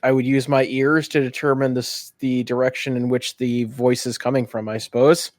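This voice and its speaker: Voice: medium pitch, flat delivery, moderate pace, a little mellow, no echo. Musing game player in a dry recording. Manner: musing, thinking aloud. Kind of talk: casual game chat.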